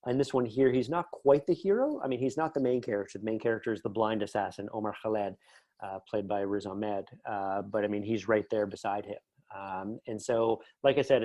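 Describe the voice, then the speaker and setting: A man's voice: fairly low pitch, a little mellow, no room sound. A middle-aged Canadian man chatting casually and enthusiastically as an interview guest, going off on tangents. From Northern Ontario, Canada. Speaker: podcast guest, writer.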